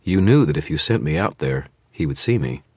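A man voicing a weary private eye who questions quietly and bluntly. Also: extremely low pitch, animated, a little fast, husky, no room sound, clean studio sound, exaggerated voice. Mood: pressing. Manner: quiet and clipped.

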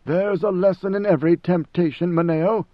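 A man voicing a commanding ancient god emperor who speaks in a grave, measured, lecturing way. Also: sits high, fairly even intonation, no room sound, dull audio, voice pushed up, lightly acted.

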